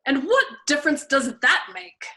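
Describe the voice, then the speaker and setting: A woman doing a deadpan, paranoid young airman. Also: high-pitched, moderate pace, pitched above the performer's own voice, full character voice. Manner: calm, innocent and deadpan. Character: wry, paranoid young male bombardier.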